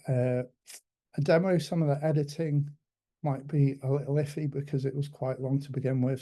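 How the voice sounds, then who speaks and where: Man presenting at a tech conference, dark voice. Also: medium pitch. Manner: hesitant, many ums and restarts. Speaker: conference speaker.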